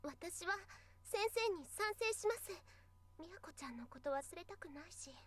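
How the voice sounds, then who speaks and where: A woman's voice: very high voice, lively intonation, fast talker, fairly bright tone. A woman voice actor playing a young, earnest and loyal schoolgirl in a Japanese visual novel.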